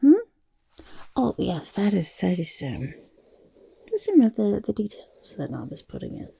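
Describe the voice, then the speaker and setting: A woman voicing a smug, eccentric goblin portrait artist in an ASMR roleplay, raspy and muttering, with halting speech that often drops into whispers. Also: medium pitch, very animated, mellow, no echo, heavily acted. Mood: boastful, pleased. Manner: halting, muttering, refers to self in third person. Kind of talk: ASMR roleplay, personal attention.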